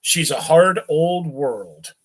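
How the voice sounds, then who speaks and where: A man's voice: fairly high pitch, slow pace, fairly bright tone, poor audio. A man voicing a drunk, rich young man of about eighteen, slurring and rambling in a thick voice. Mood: tipsy friendly. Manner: slurring and hiccuping, lurching tipsy chatter.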